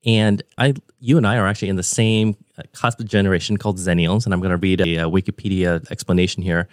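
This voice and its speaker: A man's voice: low-pitched, steady tone, quick pace, no echo, clean studio sound. A man of about forty hosting a podcast interview over a remote call, talking in a conversational, enthusiastic and discursive way. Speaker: podcast host.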